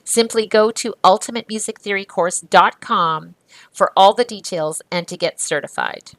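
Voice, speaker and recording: A woman's voice: medium pitch, moderate pace, fairly bright tone, no room sound. A woman presenting a music course in upbeat, polished, scripted narration. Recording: dry studio voice-over.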